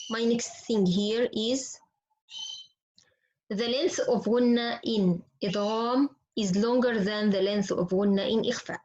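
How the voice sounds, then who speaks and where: A woman's voice: medium pitch, darkish tone. A woman with an Arabic accent, a native Arabic speaker, teaching recitation rules step by step, repeating points and asking questions that she answers herself. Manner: instructive, repetitive, poses questions then answers. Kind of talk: explanatory lesson with recited examples. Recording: dull, lo-fi class audio.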